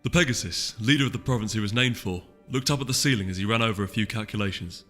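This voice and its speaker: A man's voice: on the low side, quick pace, fairly bright tone, long reverb tail, faint music behind. A man reading a story aloud as a scripted, dramatic narration. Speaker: audiobook reader.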